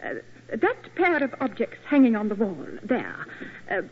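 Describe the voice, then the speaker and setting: An actress playing a prim, bold English spinster in an old-time radio drama. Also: female voice, on the high side, very animated, unhurried, slightly rough, heavily acted. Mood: gushing, flattering. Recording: tinny, scratchy old radio recording.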